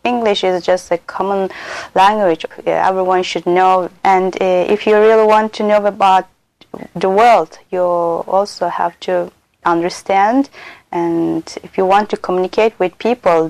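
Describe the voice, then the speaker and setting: A young woman from Turkey speaks English with a Turkish accent, her first language being Turkish. She talks in a halting, unscripted way, stopping often and filling the gaps with "uh". Her voice sits at an ordinary pitch and sounds close and dry, with no room echo. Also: steady tone, gravelly, a little mellow. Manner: hesitant, frequent pauses and "uh" fillers. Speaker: doctor and doctoral student. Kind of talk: unscripted spoken account for a listening exercise.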